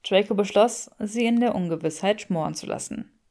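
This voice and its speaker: A woman's voice: mid-range voice, moderate pace, a little mellow. A woman reading fiction aloud as an audiobook narrator.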